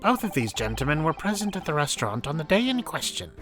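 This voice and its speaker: A man's voice: on the high side, sing-song, quick pace, over music. A man voicing a condescending, menacing older prosecutor in a courtroom game dub, formal and theatrical, with noise in the recording. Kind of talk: fan-dubbed courtroom game acting.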